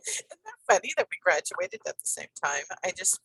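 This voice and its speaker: A woman's voice: lowish voice, wildly swooping pitch, a little fast, fairly bright tone, slightly rough, no room sound, rough recording. A woman in her fifties hosting a podcast, talking to her guest in an enthusiastic, rambling way full of fillers. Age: 50s. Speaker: podcast host. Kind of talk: conversational interview.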